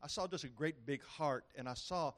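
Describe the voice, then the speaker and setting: A man's voice: fairly high pitch, fairly bright tone. Fervent male preacher. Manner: fervent, building in intensity, with halting restarts. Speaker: preacher giving a prophetic word. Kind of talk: charismatic church preaching.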